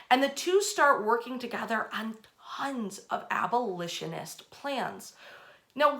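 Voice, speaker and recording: A woman's voice: on the high side, pushed and bright. A woman hosting a history storytelling session, speaking conversationally and repeating phrases for emphasis. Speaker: host, storyteller.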